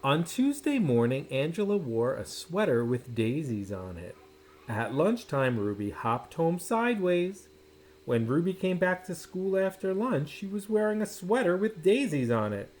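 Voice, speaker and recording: Man reading a picture book, very animated pitch. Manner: animated, dramatic pauses and drawn-out words. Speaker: storybook reader. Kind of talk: expressive children's picture book read-aloud.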